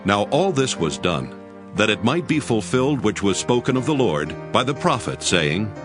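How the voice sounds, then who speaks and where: A man narrating scripture from a script in a solemn, expressive style. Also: lowish voice, normal speed. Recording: music behind the narration. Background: music.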